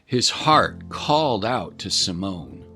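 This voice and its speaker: A man narrating a scripted dramatic story in a measured, solemn manner. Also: lowish voice, very animated, sharp tone, soft background music.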